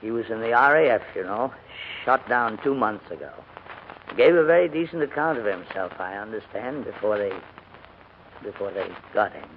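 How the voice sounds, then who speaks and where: A noisy, narrow vintage radio recording carries a courteous old English gentleman. He hems and hesitates, and his pitch swings up and down freely as he frets in period radio-drama fashion. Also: expressive, moderate pace. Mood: grieving, restrained. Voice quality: hesitant, hemming delivery. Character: courteous, dithering elderly English gentleman escorting refugee children. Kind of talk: 1940s radio drama acting.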